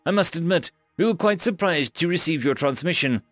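Brief: man reading as an eager human ship captain, chatty and a bit flustered, lively pitch, dry close sound. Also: a little fast, dry recording, soft background music, lightly acted. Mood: earnest, friendly. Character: friendly male human starship captain.

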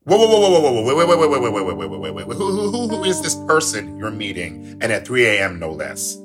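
A jittery paranoid flatmate. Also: male voice, medium pitch, quick pace, over music. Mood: frantic. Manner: excitable, slangy, rambling. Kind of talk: radio play acting.

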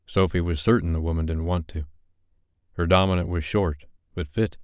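Deep, smooth male audiobook narrator. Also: a little mellow, no echo, clean studio sound. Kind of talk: scripted romance novel narration.

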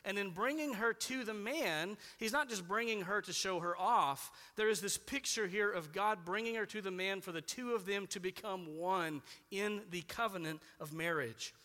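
Bright-voiced preacher in a reverberant hall. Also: male voice, normal speed, few pauses. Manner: earnest, building emphasis then dropping low on key words. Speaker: pastor. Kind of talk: sermon.

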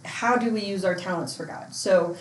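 A woman's voice: mid-range voice, moderate pace, slight background noise. A woman from Portland, Oregon who coaches youth sports and organizes missions trips, speaking earnestly in a casual interview with frequent fillers and restarts. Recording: echoey room with faint ambience.

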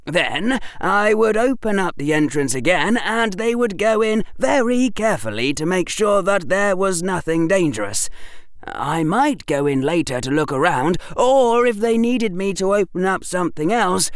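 A man's voice: high-pitched, lively intonation, normal speed, dry recording, clean studio sound, voice pushed up. A man voicing a precocious, earnest schoolboy wizard in an audiobook story, articulate and politely matter-of-fact. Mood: prompt, earnest. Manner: articulate, reasoned, formal for a child. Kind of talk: audiobook character acting.

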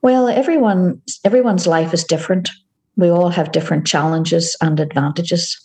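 Northern irish lady, low mellow voice, calm and steady, explaining things in an interview. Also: normal speed. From Northern Ireland. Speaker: guest, community organisation director. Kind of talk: podcast interview answers.

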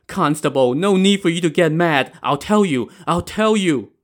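A man's voice: on the high side, animated, normal speed, pitched above the performer's own voice, close to an everyday voice. A man voicing a cornered, scheming old woman in a story, protesting and lamenting. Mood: cornered pleading.

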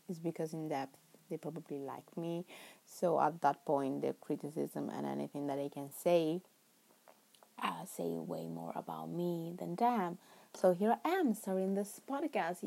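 A woman's voice: on the low side, vocal fry, mellow. A woman in her twenties from Italy, speaking English with an Italian accent, chatting casually and rambling as she hosts her own podcast, laughing often and sometimes dropping to a whisper. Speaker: podcast host. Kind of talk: casual solo podcast chat.

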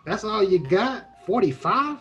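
A husky-voiced small-time crook of an informant as acted in a radio play, slangy and wary. He grumbles like a street tough in comic old-time style, over music. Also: medium pitch, sing-song, measured pace, bright, forceful tone, heavily acted. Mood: sly, reluctant.

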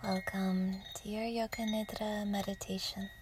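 A woman guiding a yoga nidra meditation in a soft, breathy near-whisper, gentle and soothing, with unhurried pauses. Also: medium pitch, little pitch movement, background noise. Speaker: meditation guide.

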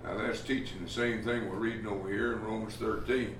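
A man preaching a sermon as pastor, plainspoken and folksy, often pausing with "uh" as he talks. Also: fairly low pitch, fairly even intonation, a little hiss or hum. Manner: plainspoken, folksy, halting with many uh fillers. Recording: echoey room from far off, faint ambience.

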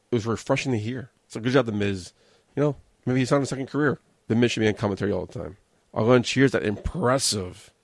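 A man's voice: mid-range voice, darkish tone, no room sound. Opinionated American man, dry close recording. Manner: opinionated, rambling, sardonic. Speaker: host of a wrestling review show. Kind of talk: casual solo commentary on wrestling shows.

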